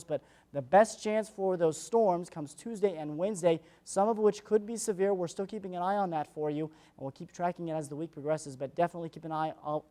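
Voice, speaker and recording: A woman's voice: lowish voice, dark tone. An American woman presenting a live weather forecast on television, explaining it in a chatty way.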